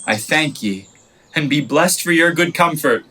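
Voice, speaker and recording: A man playing an earnest young lovesick gentleman in Shakespearean verse for a radio play. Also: on the high side, expressive, unhurried, exaggerated voice. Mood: earnest, grateful. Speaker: student actor.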